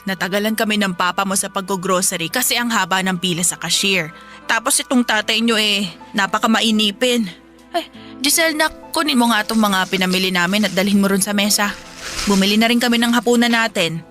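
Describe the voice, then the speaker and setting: A woman's voice: mid-range voice, a little fast, pushed and bright, faint music behind, lightly acted. A woman playing a caring, talkative middle-aged mother in a Filipino radio drama. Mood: chatty, concerned.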